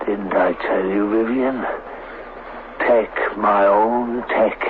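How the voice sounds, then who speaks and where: An actor playing an obsessed, proud male scientist in a 1970s radio drama, speaking in a deliberate, grandiose, theatrical manner. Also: lowish voice, fairly even intonation, narrow, tinny audio. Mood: obsessive. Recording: noisy, thin 1970s radio broadcast.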